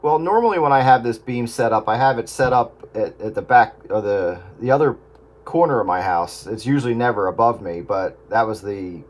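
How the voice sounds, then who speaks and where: Clear radio noise surrounds a man with an average-pitched voice. He chats casually about technical matters as a hobby operator, in a halting way with repeated words. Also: animated. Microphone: radio transceiver microphone. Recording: noisy radio transmission with static.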